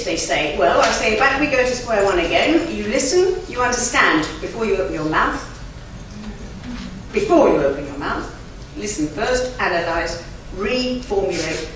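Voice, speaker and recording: A woman whose first language is German, a conference interpreter giving an emphatic, chatty lecture to a live audience with lively asides. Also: mid-range voice. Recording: very echoey hall, from far off, faint noise.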